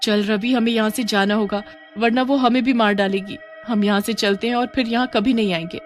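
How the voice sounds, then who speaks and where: A woman's voice: fairly high pitch, fairly even intonation, a little fast, faint music behind, close to an everyday voice. Woman as a fretful, doubtful schoolgirl. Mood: frightened. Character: cautious, doubtful young schoolgirl, easily frightened.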